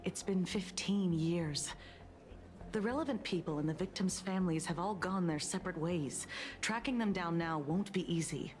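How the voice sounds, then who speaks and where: A woman's voice: lowish voice, normal speed, darkish tone, natural voice. An actress playing a sardonic, composed female police detective in a video game, dry and teasing. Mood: skeptical, measured. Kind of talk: dramatic video game dialogue.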